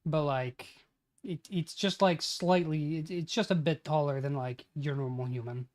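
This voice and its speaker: A man's voice: on the high side, steady tone, moderate pace, darkish tone. Young man muttering as he types. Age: 20s. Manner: mutters lines aloud while typing, then casual banter with swearing. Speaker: streamer writing a tabletop campaign. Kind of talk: casual livestream chat.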